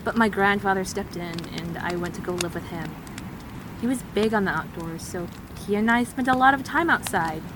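Steady woman survivor over noisy ambience , acted. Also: medium pitch, moderate pace, close to an everyday voice. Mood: matter-of-fact. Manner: matter-of-fact, reassuring. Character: steady, reassuring wandering survivor, self-contained woman. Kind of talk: naturalistic audio drama dialogue.

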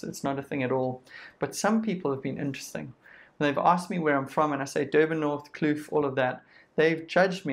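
A man's voice: a little high, creaky. A man with a South African accent, giving a sermon in a conversational way and acting out what people say. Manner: conversational, uses quoted voices and lists. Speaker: preacher.

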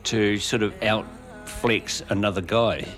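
A man's voice: on the low side, fairly even intonation, slow pace, a bit bright, soft background music. A man co-hosting a radio show, chatting casually and asking questions.

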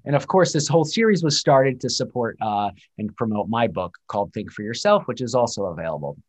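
A man's voice: mid-range voice, animated, fairly quick. A man in his forties hosting an online interview series, chatty, with frequent fillers. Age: 40s. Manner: chatty, filler-heavy, self-deprecating. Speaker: host.

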